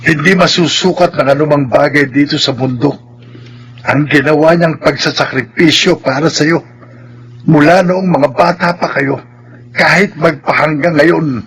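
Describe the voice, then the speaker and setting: Bright-voiced, earnest Filipino father. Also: fairly high pitch, fairly even intonation, normal speed, husky, full character voice, low-quality recording. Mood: firm reproach. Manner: earnest, emphatic, solemn. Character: earnest, worried father of two grown daughters. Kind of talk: Filipino radio drama acting.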